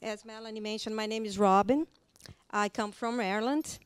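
A middle-aged woman with a Brazilian accent leads a church training seminar, chatty and friendly, with frequent "uh" and "um" fillers. Her voice is smooth and resonant, sitting a touch high. She speaks into a handheld microphone in a hotel meeting room. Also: fairly even intonation, moderate pace. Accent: Brazilian Portuguese. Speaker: children's ministry trainer. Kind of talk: seminar presentation. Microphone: handheld mic.

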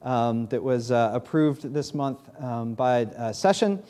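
Man, thirties, New England accent, pastor, dark mellow voice, halting speech, podium mic, church sanctuary. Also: mid-range voice, lively intonation. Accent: New England American. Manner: halting, many fillers, friendly. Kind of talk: church announcements and prayer. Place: large church sanctuary, in doors.

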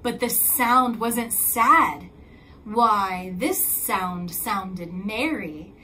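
A young woman reading a storybook to small children as a teacher, with expressive, drawn-out emphasis. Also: mid-range voice, slight background noise. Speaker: kindergarten teacher reading aloud. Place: decorated school room, indoors.

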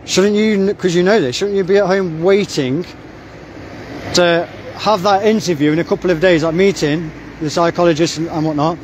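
Man, insistent street confrontation, phone-recorded outdoors, slightly high voice. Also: fairly even intonation, normal speed. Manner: blunt, insistent, repeats himself. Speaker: confronting member of a hunting group. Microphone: phone held while recording. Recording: outdoor traffic noise behind.

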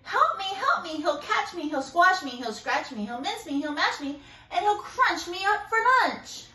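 Woman putting on a high squeaky frightened little mother mouse. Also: big pitch swings, moderate pace, sharp tone, roomy sound, far from the mic, full character voice. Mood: panicked.

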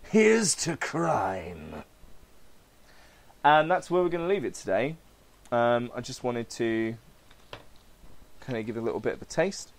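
A man's voice: mid-range voice, normal speed, pauses often. A man hosting a podcast, casually reading and narrating a comic-book story aloud. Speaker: podcast host.

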